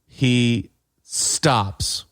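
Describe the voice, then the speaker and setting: Animated man telling stories on a podcast. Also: mid-range voice, drawn-out words, dry recording, clean studio sound. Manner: animated, acting out dialogue, swearing. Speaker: podcast co-host. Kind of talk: casual chat and storytelling.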